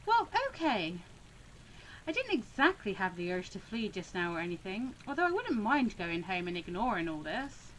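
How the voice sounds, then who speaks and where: A woman's voice: mid-range voice, very animated, moderate pace, a bit bright, slight background noise, poor audio. A woman voicing a young female student mediator from a visual novel, indignant, reluctant and principled, in an emphatic, sulky way. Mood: reluctant, resolute. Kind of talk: visual novel read-aloud.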